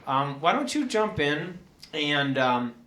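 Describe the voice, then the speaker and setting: A man hosting a podcast interview, asking questions with many pauses and restarts. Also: a little high, big pitch swings, a bit bright. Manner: halting, many fillers and restarts. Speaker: podcast host.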